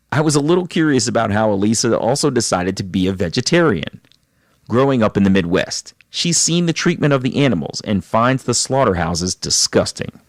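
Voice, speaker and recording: A man with a lowish voice hosts a podcast, moving between attentive questions and recap narration. His delivery is lively and varied in pitch, and the sound is dry and close. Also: dry recording. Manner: attentive, frequent affirming murmurs.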